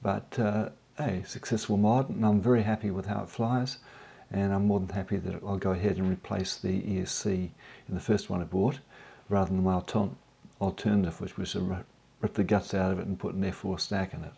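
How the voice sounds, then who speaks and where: A man with a head cold speaks in a very low, gravelly voice with a husky, mellow tone. He talks in a relaxed, unhurried way, pausing often on ums and uhs as he narrates his own hobby video. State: has a cold, croaky voice. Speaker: drone hobbyist vlogger. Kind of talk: casual voice-over narration.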